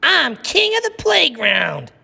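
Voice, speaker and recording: A man's voice: very high-pitched, wildly swooping pitch, slow pace, creaky, sharp tone, voice pushed up, exaggerated voice. A man reading a storybook aloud, voicing a boastful, bossy young boy bully with a taunting, commanding manner. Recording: echoey room with long reverb.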